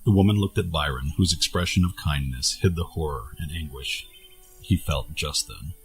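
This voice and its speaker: Male narrator, low-pitched, scripted fantasy storytelling, soft music behind. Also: normal speed, creaky.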